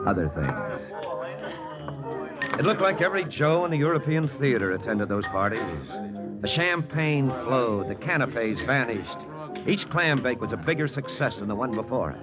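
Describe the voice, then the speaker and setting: A wry American lieutenant on a spy hunt in an old radio drama. His low voice swings widely in pitch, quietly lovesick beneath the soldierly talk, on a muffled vintage recording. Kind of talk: 1940s radio drama acting and first-person narration.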